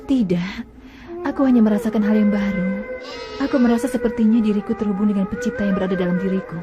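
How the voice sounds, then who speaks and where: A woman voicing a calm, devout mother she-camel in a dubbed cartoon, speaking softly and tenderly, with music under her lines. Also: mid-range voice, fast talker, dull audio, natural voice. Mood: serene. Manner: soft, tender, soothing. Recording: music bed under dialogue.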